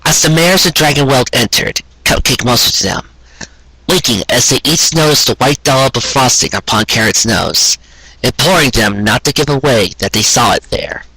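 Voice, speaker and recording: Husky, bright-voiced man reading a story. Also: mid-range voice, normal speed, dry recording, poor audio. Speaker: fan fiction audiobook reader. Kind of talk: scripted story narration.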